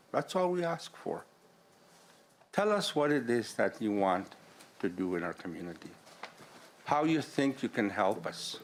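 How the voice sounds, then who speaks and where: An older man whose first language is Cree, speaking as an elder opening a public panel in a gentle, unhurried way with quiet humor. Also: lowish voice. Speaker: elder giving opening prayer. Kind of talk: slow, soft-spoken ceremonial address.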